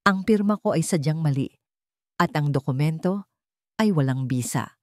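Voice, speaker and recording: A woman voicing a calm, resolute older mother who speaks steadily and firmly, in a dramatized storybook reading. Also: low voice, no echo, studio quality. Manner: steady, firm and measured.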